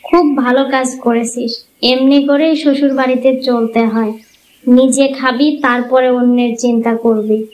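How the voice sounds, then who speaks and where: A woman's voice: a little high, steady tone, normal speed, darkish tone, close to an everyday voice. A woman doing a bossy conniving elder sister. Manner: scolding, instructive. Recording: muffled lo-fi audio.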